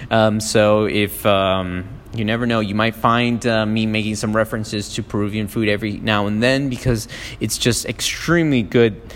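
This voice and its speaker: A man's voice: on the low side, fairly even intonation, normal speed, long reverb tail. A man from Miami talking alone as a podcast host, casual and rambling with many ums and restarts, recorded on a phone. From United States (Miami). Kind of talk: solo podcast monologue. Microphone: phone app.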